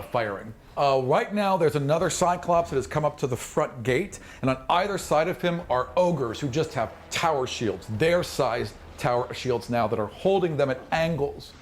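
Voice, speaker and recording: A man narrating a tabletop fantasy game as game master, improvising in an animated way with spoken sound effects. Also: mid-range voice, normal speed, hardly any pauses, slight background noise.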